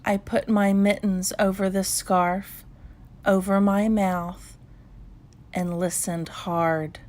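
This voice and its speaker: A woman narrates a children's bedtime story at a very slow pace. Her voice sits at a medium pitch, and she reads calmly, leaving space between short phrases. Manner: measured, unhurried storytelling with pauses. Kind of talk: calm bedtime read-aloud narration.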